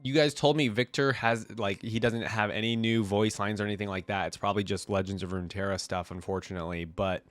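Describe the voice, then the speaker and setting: A man's voice: on the low side, steady tone, fast, few pauses, a bit bright, no echo. A man with a cold, rambling casually as he hosts a reaction video. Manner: rambling, full of fillers. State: congested with a cold.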